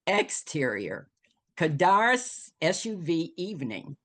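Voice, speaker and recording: A woman's voice: low-pitched, sing-song, slow pace, a bit bright. A woman reading scene headings and action from a screenplay as dramatic scripted narration. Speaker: stage directions reader.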